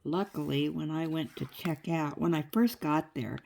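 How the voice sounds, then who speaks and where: Older woman vlogger, low gravelly voice, stuffy and chatty, unhurried rambling delivery. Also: darkish tone, slight background noise. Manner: rambling, chatty, laughs often. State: stuffy nose. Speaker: crafting vlogger. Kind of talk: casual chatty vlog.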